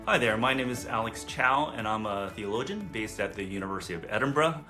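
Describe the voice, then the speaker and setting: A man's voice: on the low side, animated, fairly quick, bright, forceful tone, soft background music. An American man giving a workshop talk as a theologian, hesitant, with many fillers and restarts. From United States.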